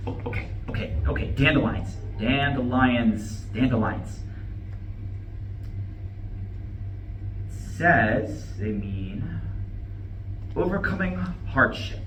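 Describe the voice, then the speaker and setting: A man's voice: medium pitch, animated, slow, a bit bright, soft background music. An actor in a live play reading, playing an anguished, regretful man who stammers and repeats himself. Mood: panicked. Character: anguished, panicking man full of regret over lost love. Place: room at a live campus reading. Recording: roomy, distant live recording, faint noise.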